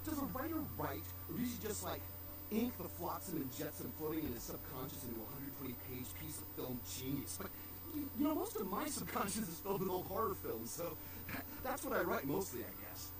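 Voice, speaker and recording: An actor playing an eager, pretentious aspiring screenwriter in a video game, rambling self-importantly like a slacker. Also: male voice, on the high side, very animated, a little fast, recorded from a distance, noisy background. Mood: musing.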